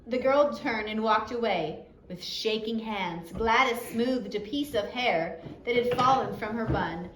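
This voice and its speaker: A woman's voice: fairly high pitch, unhurried, few pauses. A woman narrating a story aloud as an audiobook reader. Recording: roomy echo, faint background noise.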